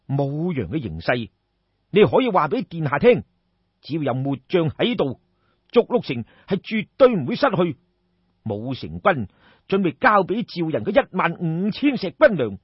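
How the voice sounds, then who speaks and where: A man voicing a seasoned, loyal army general in Cantonese, sighing and speaking in a deliberate, measured way. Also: a little high, husky, no echo, lo-fi sound, heavily acted. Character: seasoned male army general, grave, weary, firm and loyal.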